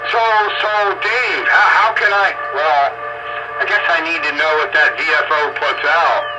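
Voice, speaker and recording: Higher-voiced man rambling over the radio. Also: a little slow, very noisy. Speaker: amateur radio operator. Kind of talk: casual technical chat over radio.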